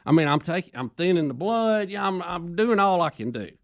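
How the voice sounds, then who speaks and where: A man's voice: high voice, lively intonation, a little fast, creaky, no echo, studio quality. A man co-hosting a radio talk show, chatting about checking his health. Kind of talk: casual chat.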